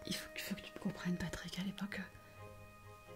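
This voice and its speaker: A woman's voice: lowish voice, little pitch movement, normal speed, natural voice. Woman acting a whispering, guarded doctor over music. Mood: pained, confessing. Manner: hesitant, whispered speech. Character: guarded female doctor hiding an old secret from her ex. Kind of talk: French ASMR roleplay acting. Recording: music behind the voice.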